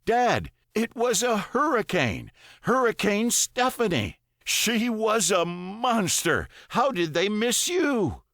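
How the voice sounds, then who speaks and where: A man's voice: high voice, sing-song, slow, a bit bright, no echo, studio quality, pitched above the performer's own voice, full character voice. A man voicing a worried adult son in an audiobook, urgent, anxious and apologetic. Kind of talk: audiobook character dialogue.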